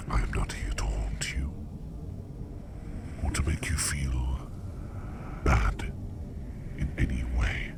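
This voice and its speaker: Man acting a very deep, gravelly whispering demon. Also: exaggerated voice. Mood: reassuring. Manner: slow, measured, formal old-fashioned diction. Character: curious, gentle, formal male sleep demon, dream walker. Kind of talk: whispered ASMR roleplay monologue.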